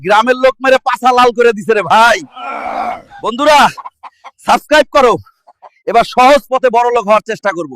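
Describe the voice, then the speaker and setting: A man voicing a brash, vengeful, money-hungry Hulk in a cartoon, loud and declamatory. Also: extremely high pitch, brisk, long pauses, no echo, soft background music. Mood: pleading, emphatic. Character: brash, vengeful, cash-hungry male Hulk, a jilted underdog. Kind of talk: exaggerated cartoon story dialogue.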